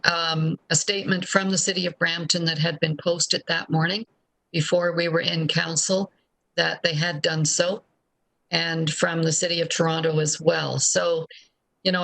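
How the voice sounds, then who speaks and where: Bright, forceful woman in a council meeting. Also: fairly low pitch, rough recording. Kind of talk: formal meeting remarks, off the cuff.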